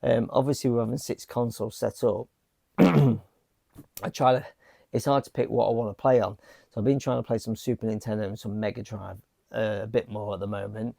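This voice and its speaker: Croaky man in casual vlog chat. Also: fairly low pitch, moderate pace, no echo. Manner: rambling, relaxed, lots of fillers and restarts. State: croaky from a lingering cough. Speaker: vlogger. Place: home game room. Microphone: small handheld mic.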